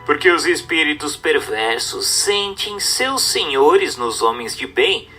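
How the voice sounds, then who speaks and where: Bright-voiced man, solemn animated narrator. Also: on the high side, slow pace. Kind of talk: formal scripted reading of a religious text. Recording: narration with background music.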